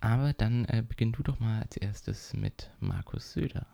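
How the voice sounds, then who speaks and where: A young man hosting a political podcast, explaining topics in a measured way with occasional fillers. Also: on the low side, huge pitch swings, creaky, dark tone. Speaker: podcast host. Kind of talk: casual political podcast chat.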